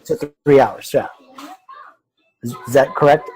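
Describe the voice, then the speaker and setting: A man talks through an example as a student in an online class, checking as he goes. His voice is medium in pitch, and the sound is very dry with no room around it. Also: a little slow, a little mellow, slightly rough, no echo, poor audio. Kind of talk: tentative worked-example discussion. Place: video conference call.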